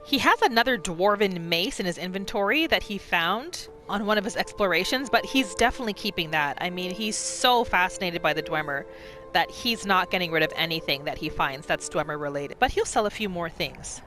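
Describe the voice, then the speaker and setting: A woman's voice: fairly low pitch, expressive, a little fast, music in the background. A woman giving casual, storytelling commentary as she narrates a roleplay video game. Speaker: gamer narrating a roleplay series.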